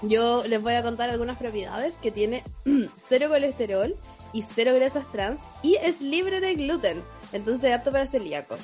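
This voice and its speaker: A woman's voice: on the high side, expressive, moderate pace, darkish tone, faint music behind. A young adult woman with a Chilean accent and a sore throat, co-hosting a casual, slangy podcast chat over a video call. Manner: informal, slangy. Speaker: podcast host. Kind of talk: casual chat among friends.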